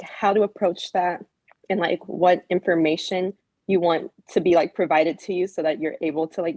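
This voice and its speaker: A woman's voice: lowish voice, darkish tone, no echo, poor audio. A woman from the audience asking a long, hesitant question with many fillers. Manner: hesitant, heavy use of "like". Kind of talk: casual question.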